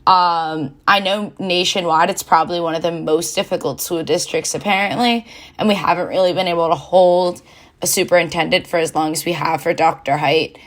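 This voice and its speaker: A woman's voice: fairly low pitch, steady tone, normal speed, fairly bright tone. A teenage young woman with an American accent, giving considered, explanatory opinions as a student guest in a casual panel discussion.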